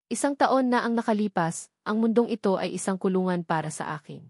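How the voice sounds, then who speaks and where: A woman voicing a devoted grown man proposing tenderly, read in an even, measured way for an audio drama. Her voice sits at a middling pitch. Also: dry recording, clean studio sound.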